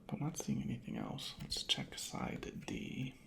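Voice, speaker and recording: Soft whispery asmr person, super crackly fry, takes their time, right up on the mic. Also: mid-range voice, huge pitch swings. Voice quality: breathy, whispery, creaky soft voice. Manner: unhurried, methodical, murmuring. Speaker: ASMR creator playing a record inspector. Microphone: close microphone.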